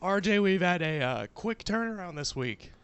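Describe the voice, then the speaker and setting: A hoarse man co-hosts a laid-back podcast chat, his voice gravelly and crackling as it fails him. He sits a touch higher than most men and swings up and down a lot, rambling along with plenty of fillers. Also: moderate pace, muffled recording. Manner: relaxed, rambling, trailing off with fillers. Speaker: podcast co-host. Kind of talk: casual chat.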